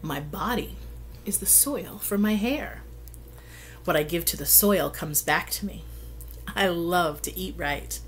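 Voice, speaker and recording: A middle-aged woman reading a picture book aloud to children, with dramatic pauses and hushed emphasis. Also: on the low side. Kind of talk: expressive read-aloud for children. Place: indoor room at home. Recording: faint background room noise.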